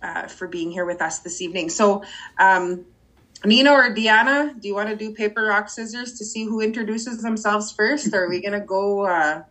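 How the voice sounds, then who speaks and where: A woman with a husky voice hosting a podcast. She welcomes her guests in an upbeat, playful way, full of ums and uhs. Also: medium pitch, normal speed, fairly bright tone, rough recording. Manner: upbeat, playful, filler-heavy. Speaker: podcast host. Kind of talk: casual podcast intro.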